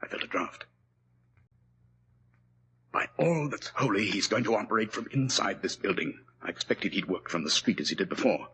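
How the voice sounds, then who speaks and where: An actor playing a cool, commanding middle-aged detective in 1940s radio drama style, brisk and clipped. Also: male voice, fairly low pitch, expressive, a bit bright, slight background noise. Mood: urgent.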